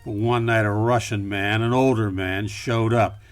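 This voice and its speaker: A middle-aged actor playing a hard-boiled, world-weary private detective, narrating in a deadpan, measured radio play style. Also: male voice, fairly low pitch, natural voice. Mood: brooding narration. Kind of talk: campy film noir radio play narration. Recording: music behind the voice.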